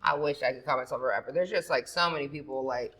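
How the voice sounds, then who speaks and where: A woman with a deep, raspy, sleepy-sounding voice, talking about singing as a podcast guest in loose, profane casual chat. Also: quick pace, fairly bright tone. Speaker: singer guest.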